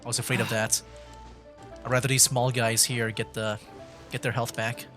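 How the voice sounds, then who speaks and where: A man's voice: medium pitch, little pitch movement, moderate pace, a bit bright, faint music behind. A man streaming a video game with rambling, laid-back, casual commentary.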